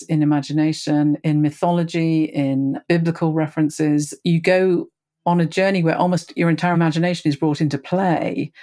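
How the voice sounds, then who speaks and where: A woman's voice: low voice, a little mellow. Female theatre professional, reflective spoken commentary in an interview setting. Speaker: theatre play-development director interviewed.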